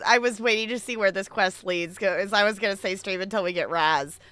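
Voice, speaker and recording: A woman's voice: mid-range voice, bright, forceful tone, dry recording. A woman giving casual, chatty livestream commentary while playing a video game, with music behind her. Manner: informal, chatty.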